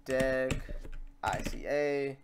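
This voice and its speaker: A man hosting a casual software tutorial, rambling and thinking aloud as he works. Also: mid-range voice, drawn-out words. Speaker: tutorial host. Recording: clearly noisy mic, keyboard clicks. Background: typing and mouse clicks.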